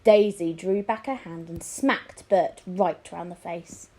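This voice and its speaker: A woman reading a children's story aloud as a teacher would, in an expressive narrating style. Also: fairly low pitch, slow pace.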